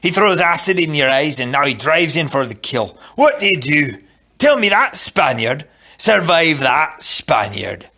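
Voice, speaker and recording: A man's voice: on the high side, big pitch swings, measured pace, gravelly, pushed and bright, voice pushed up, heavily acted. A man voicing a scoffing, demanding Scottish fencing master who fires pointed challenges, in a storybook reading. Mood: challenging.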